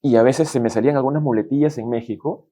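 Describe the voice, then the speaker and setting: Relaxed Peruvian man with a mellow voice. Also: mid-range voice, fast talker. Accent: Peruvian Spanish. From Peru. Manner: relaxed, colloquial, acts out quoted dialogue. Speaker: guest executive. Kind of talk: casual interview storytelling.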